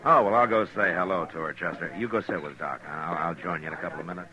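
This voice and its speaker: An actor playing a calm, laconic frontier marshal in a 1950s radio western. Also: male voice, low-pitched, quick pace, lo-fi sound, crowd noise, close to an everyday voice. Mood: easygoing. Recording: old 1950s radio recording, faint hiss.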